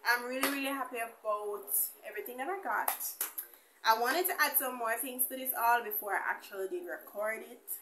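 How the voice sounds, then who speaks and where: A young woman chatting casually to camera as a beauty vlogger, animated and colloquial, addressing viewers familiarly. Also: a little high, a little fast, echoey room, background noise. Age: young adult. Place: small indoor room with bare wall.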